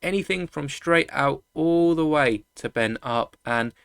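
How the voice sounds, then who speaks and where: A man's voice: on the high side, unhurried, vocal fry, a bit bright, clear, resonant tone, dry recording, studio quality. A man hosting a hobby showcase video, chatting to viewers in rambling explanations. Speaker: YouTube host of a toy-building channel. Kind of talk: enthusiast video presentation, product showcase.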